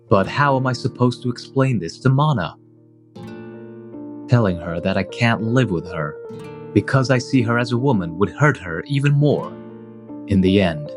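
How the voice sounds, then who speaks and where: Man as troubled manga protagonist, low voice, introspective narration, devoted guardian, soft music behind. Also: natural voice. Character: conflicted, devoted single guardian man, narrating his story. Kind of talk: first-person manga dub narration.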